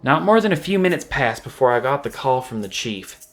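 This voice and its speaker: A man playing an observant police officer, telling his story in a halting, confiding first-person audio drama narration. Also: medium pitch, sing-song. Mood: wry, measured. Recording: music playing behind the voice. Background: music.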